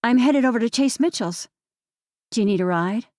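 A woman's voice: on the high side, animated, normal speed, a little mellow, no echo, clean studio sound, voice pushed up, close to an everyday voice. A woman narrator voicing a defensive young woman arguing with her sister.

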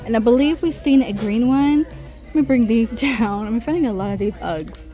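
A woman's voice: fairly high pitch, moderate pace, warm tone, faint music behind. A middle-aged woman vlogging a casual shopping trip, chatting and reading out prices as she browses a busy store. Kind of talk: casual shop-with-me narration. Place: busy discount clothing store.

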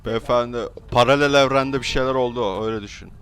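A man's voice: medium pitch, fast talker, fairly bright tone. A young man doing joking, slangy, mock-polite banter in improvised video game roleplay. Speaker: gaming video creator.

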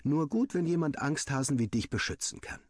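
A man voicing a quick-witted, earnest schoolboy hero in a story, with an eager way of talking. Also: a little high, animated, no room sound, clean studio sound, pitched above the performer's own voice. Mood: retorting.

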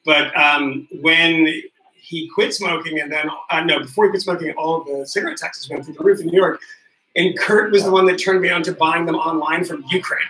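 A man's voice: on the high side, fairly even intonation, fairly quick, pushed and bright, far from the mic. A man telling rambling, self-deprecating stories in casual chat while getting a haircut in a living room. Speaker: podcast host getting a haircut. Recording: echoey room.

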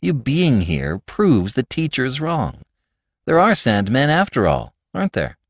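A stubborn little schoolboy from a storybook, put on by a man, with a raised, lively voice. He talks in a petulant, defiant way, suspicious of grown-ups. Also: medium pitch, a little slow, creaky, no room sound, clean studio sound, pitched above the performer's own voice, heavily acted. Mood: insistent, know-it-all. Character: stubborn, irritable young schoolboy, suspicious of adults.

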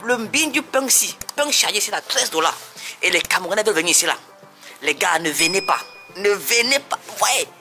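A man's voice: sits high, lively intonation, a little fast, bright, forceful tone, soft background music. A man vlogging outdoors on a street, complaining casually. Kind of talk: street vlog.

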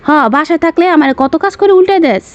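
Woman acting a high-pitched, harried Bangladeshi mother. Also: quick pace, highs cut off. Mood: exasperated scolding. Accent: Bangladeshi Bengali. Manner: complaining, exasperated. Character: harried, grumbling Bengali mother doing household chores. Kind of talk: colloquial cartoon voice acting.

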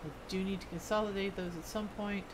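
A woman's voice: mid-range voice, steady tone, fairly quick. Musing woman streamer over noisy game ambience. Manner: musing, half talking to herself. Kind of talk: casual live gameplay commentary.

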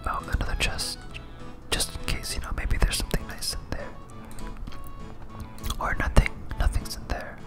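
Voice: moderate pace, pauses often. Whispering ASMR gamer over music. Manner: casual, rambling, thinking aloud. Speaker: host of a gaming series. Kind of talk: whispered ASMR gameplay commentary.

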